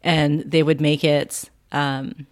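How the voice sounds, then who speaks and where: A woman with a Canadian accent, speaking as a podcast guest in a measured, reflective way. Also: deep voice, little pitch movement. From Vancouver, Canada. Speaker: podcast guest, sound healing center founder. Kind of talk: reflective interview conversation. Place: quiet indoor room at her wellness space.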